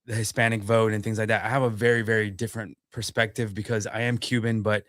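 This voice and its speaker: A man's voice: fairly low pitch, flat delivery, fast talker, a bit bright, no room sound. Easygoing man in a dry close mic. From US South. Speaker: bar owner guest. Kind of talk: casual interview.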